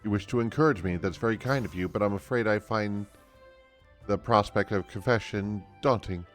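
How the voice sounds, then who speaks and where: A man's voice: on the low side, normal speed, faint music behind, close to an everyday voice. A man voicing a shy, polite villager from a fantasy game in a formal, awkward manner. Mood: shy, grateful. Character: shy, polite villager daunted by confessing love.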